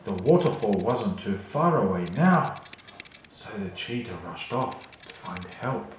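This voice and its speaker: Very echoey, expressive man reading a storybook. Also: lowish voice, a little slow. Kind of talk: expressive children's read-along narration. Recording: very echoey room, faint noise.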